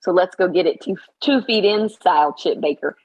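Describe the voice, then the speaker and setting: Upbeat, slightly hoarse woman coach. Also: fairly low pitch, normal speed, darkish tone, muffled recording. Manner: emphatic, upbeat, motivational. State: slightly hoarse after practice. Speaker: basketball coach and guest. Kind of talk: casual interview chat.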